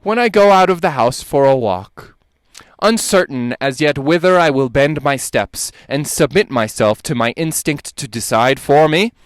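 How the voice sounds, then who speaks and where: A man performing a dramatic reading of an old lecture, with deliberate, emphatic, oratorical phrasing. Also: a little high, animated, smooth, no room sound. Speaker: dramatic reader.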